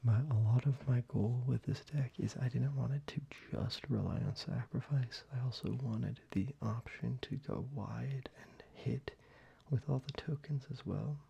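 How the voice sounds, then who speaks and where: A man speaking softly, mostly in a whisper, casually showing cards for an ASMR video, with fillers and small pauses. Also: mid-range voice, vocal fry, slightly rough. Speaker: ASMR creator. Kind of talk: soft-spoken ASMR card showcase.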